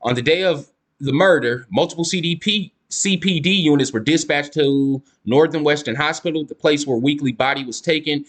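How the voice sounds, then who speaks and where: A man's voice: a little high, moderate pace, a bit bright. A young man giving casual commentary, reading court filings aloud to viewers and calling them y'all. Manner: slangy, addresses viewers as y'all, reads documents aloud with stumbles. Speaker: YouTube case-file commentator.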